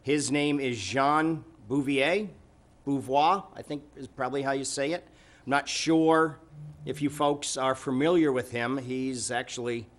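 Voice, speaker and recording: A man chairing a city council meeting, giving informal remarks and updates in a halting, conversational way. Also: mid-range voice, fairly bright tone.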